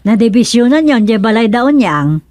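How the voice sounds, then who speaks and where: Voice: medium pitch, sing-song, normal speed, darkish tone, dry recording, close to an everyday voice. An actor playing a matter-of-fact village gossip in a radio comedy, conversational, with an Ilocano accent. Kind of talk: radio serial drama-comedy acting.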